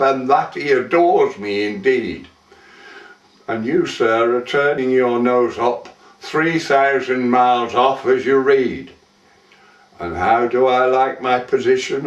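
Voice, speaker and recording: Man reciting as a wistful young heiress, slow, echoey. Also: medium pitch, dull audio, close to an everyday voice. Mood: proud, playful. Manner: theatrical, measured, with lingering pauses. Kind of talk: dramatic poetry recitation. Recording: roomy echo, distant microphone.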